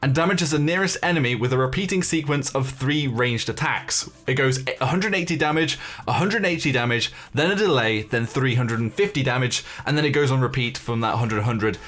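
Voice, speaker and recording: A man's voice: medium pitch, a little fast, fairly bright tone. A man giving casual, chatty commentary as the host of a gaming news video.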